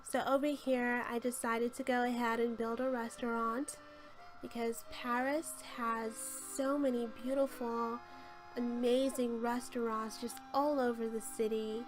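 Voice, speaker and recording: Hoarse woman with a flat, high voice. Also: a little slow, rough recording. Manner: gushing, chatty, with frequent ums. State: hoarse from a cold. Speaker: game channel host. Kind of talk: casual voice-over tour of a game build. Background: light background music.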